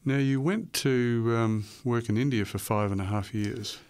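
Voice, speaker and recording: A man's voice: medium pitch, moderate pace, a little mellow, smooth. A man hosting a radio interview, asking brief questions to prompt his guest.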